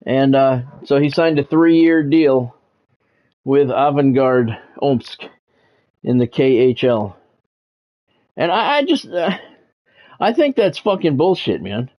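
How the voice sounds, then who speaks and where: A man hosting a game livestream, talking sports to his viewers in measured, announcer-like phrases. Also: mid-range voice, animated, highs cut off. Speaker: game streamer and sports commentator, former radio play-by-play announcer. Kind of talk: livestream monologue, sports talk to chat viewers.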